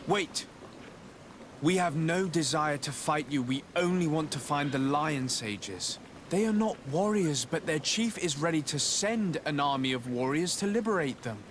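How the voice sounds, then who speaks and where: A man playing a composed, courteous young diplomat-adventurer in a video game, speaking in measured, earnest, formal phrases. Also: fairly high pitch, expressive, slight background noise. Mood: urgent, placating. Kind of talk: video game cutscene acting.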